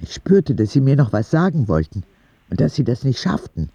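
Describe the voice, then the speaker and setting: A man narrating a radio drama in the first person as a cheeky boy, soft and husky. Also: medium pitch, big pitch swings, normal speed, no room sound, muffled recording. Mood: wistful. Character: cheeky ten-year-old boy narrating his own story.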